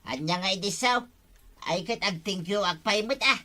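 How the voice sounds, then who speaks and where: A woman with a Filipino accent playing a forceful villager in a radio comedy drama. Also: mid-range voice, normal speed, lightly acted. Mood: insistent.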